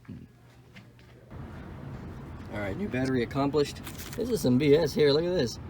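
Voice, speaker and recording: A young man rambles through his car project in a loose vlog style, breaking off for sarcastic jokes. His voice sits at an ordinary middle pitch. Steady ambient noise hums under the recording. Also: moderate pace. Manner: wisecracking, sarcastic asides; offhand, thinks aloud while working on a car. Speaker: car vlogger. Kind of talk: casual vlog commentary.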